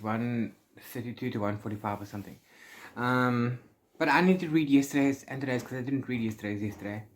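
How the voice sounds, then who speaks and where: A book vlogger hosting a read-along, talking casually and informally in a halting, rambling way with self-corrections. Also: medium pitch. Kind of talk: casual, rambling spoken vlog.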